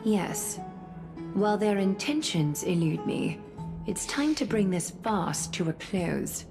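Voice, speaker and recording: A stern, composed female leader from an anime game. She speaks formally and very slowly at a middle pitch. Music plays clearly behind her. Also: sing-song. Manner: measured, formal. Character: composed, authoritative woman leader, calm and stern. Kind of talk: English anime game dub acting.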